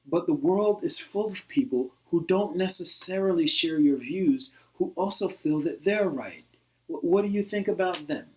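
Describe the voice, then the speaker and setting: A man playing a patient, reasoning skeptic in a satirical dialogue, putting pointed questions in a measured, articulate way. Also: on the high side, lively intonation, darkish tone, close to an everyday voice, low-quality recording. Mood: probing.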